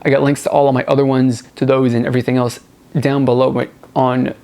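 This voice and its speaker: A man's voice: medium pitch, little pitch movement, a little fast, vocal fry, dark tone. A man hosting a music review vlog, talking casually and enthusiastically in a rambling, informal way, often repeating words for emphasis. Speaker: host of a music review show.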